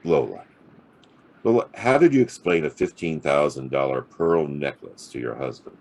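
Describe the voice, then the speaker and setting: An actor playing a hard-boiled, wisecracking private detective in a noir radio drama, with a gravelly, husky voice and a sardonic drawl. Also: male voice, rumbling low, very animated, moderate pace, darkish tone, exaggerated voice. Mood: probing, wry. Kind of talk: noir radio drama acting and first-person narration.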